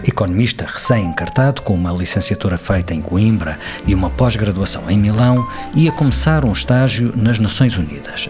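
A man with a European Portuguese accent, narrating an introduction and hosting an interview in a measured, polished way. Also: on the low side. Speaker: host. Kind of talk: scripted narration and interview questions. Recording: music bed under the voice.

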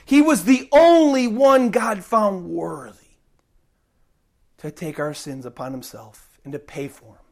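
A woman's voice: medium pitch, unhurried. A woman preaching an emphatic sermon while recovering from a cold and fighting to keep her voice. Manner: emphatic, repeats key points, swings between loud stresses and soft drops.

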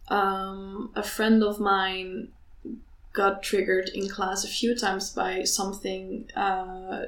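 A young woman talking candidly and passionately about her activism, halting and restarting as she speaks. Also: medium pitch, fairly bright tone. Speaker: student activist. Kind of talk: candid conversation. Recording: echoey room.

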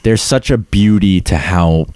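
Low-voiced young American podcast host. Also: male voice, measured pace, a little mellow, dry recording. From United States. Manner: laid-back, swears casually. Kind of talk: casual interview chat.

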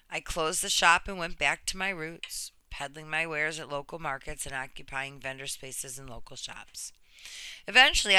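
Very bright, crisp woman narrator in her thirties. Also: fairly low pitch, no echo, low-quality recording. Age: 30s. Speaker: storyteller reading a personal true story. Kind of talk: scripted first-person narration.

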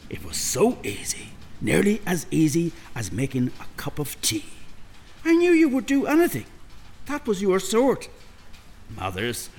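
An actor in an audio drama playing a menacing, smooth-talking government recruiter and kidnapper, with a mannered, mock-polite delivery. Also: male voice, mid-range voice.

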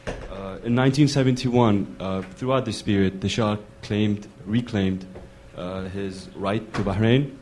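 Low-voiced man asking from the audience. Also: fairly even intonation, unhurried, a little mellow, slight background noise. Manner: hesitant, with many fillers. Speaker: audience questioner. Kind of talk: long audience question. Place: lecture hall.

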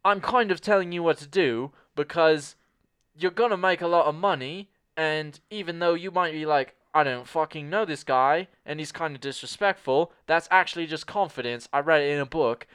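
A man in an improv comedy scene playing a cocky, clueless American who pitches a spy movie, rambling and brash and talking over people. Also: normal speed, fairly bright tone, clean tone, no room sound, clean studio sound, close to an everyday voice.

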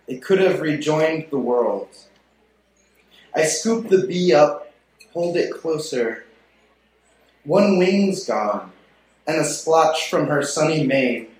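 A man reading poetry aloud at a live literary event in a very echoey room. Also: fairly high pitch. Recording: very echoey room, faint noise.

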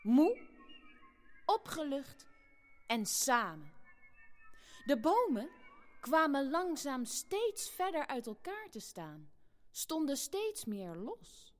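A woman's voice: high voice, sing-song, slow pace, long pauses, heavily acted. A woman acting an imaginative eldest sister who tells the tale expressively in a family audio play. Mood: gentle narrating.

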